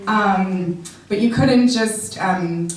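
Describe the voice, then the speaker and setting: A woman's voice: mid-range voice, extremely slow. American woman lecturing in an echoey room. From United States. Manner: conversational, many "um" fillers, explanatory. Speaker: guest speaker. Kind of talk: informal presentation with slides. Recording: echoey room, distant mic.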